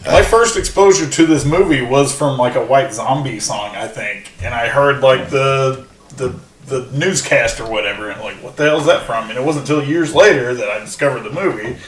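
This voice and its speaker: A man's voice: medium pitch, expressive, moderate pace. A man co-hosting a podcast, agreeable and hesitant in his casual chat. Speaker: podcast co-host. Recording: distant echoey mic with faint noise.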